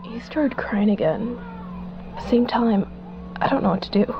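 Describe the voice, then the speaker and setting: A woman's voice: fairly high pitch, lively intonation, a little slow, muffled recording, background noise. A woman playing an exhausted, strained mother of a small child in a naturalistic horror drama. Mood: desperate. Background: music.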